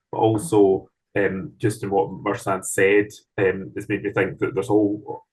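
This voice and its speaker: A Scottish man speaking as the guest author in an online seminar, thoughtful and measured, with frequent ums and restarts. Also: fairly low pitch, slightly rough, rough recording. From Scotland. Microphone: video call.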